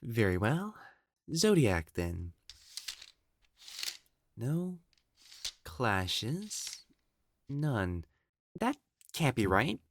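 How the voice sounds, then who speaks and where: A man playing an elegant, proper matchmaker in a dramatic audio roleplay, speaking formally and haltingly as he hides his jealousy. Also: mid-range voice. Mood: searching, dismissive. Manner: formal, halting, stammering when flustered.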